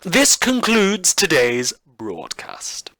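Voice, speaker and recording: A campy radio newsreader, acted by a man, delivers scripted parody bulletins in a bright, close and dry sound. The delivery is theatrical and grandly enunciated, swinging widely in pitch while staying deadpan about grim news. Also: extremely slow, no echo, heavily acted. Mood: breezy, theatrical. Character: campy, theatrical dystopian radio newsreader with mock-cheerful gravity.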